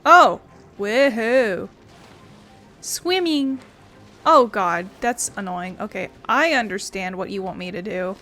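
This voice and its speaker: A woman's voice: medium pitch, animated, takes it slowly, a bit bright, faint music behind. A woman giving casual, blunt, playful let's play commentary, with some swearing. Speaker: gaming streamer.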